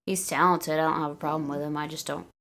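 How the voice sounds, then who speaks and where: A woman's voice: sits low, fairly even intonation, fast talker, fairly bright tone, a little hiss or hum. A woman co-hosting a wrestling podcast in casual chat, opinionated and emphatic in how she talks. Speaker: podcast co-host.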